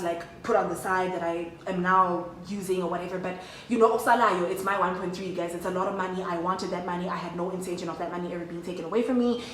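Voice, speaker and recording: A woman's voice: fairly low pitch, echoey room, distant mic, noisy background. A young woman telling a story in a chatty, slangy way, talking straight to her viewers. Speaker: vlogger. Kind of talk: casual storytime chat to camera.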